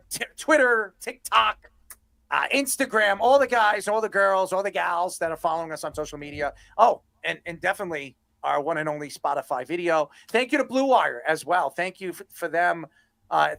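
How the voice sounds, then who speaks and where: A man's voice: sits high, creaky, pushed and bright, no echo. A man hosting a sports talk show with casual, rambling banter, often repeating himself. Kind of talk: casual banter and sign-offs.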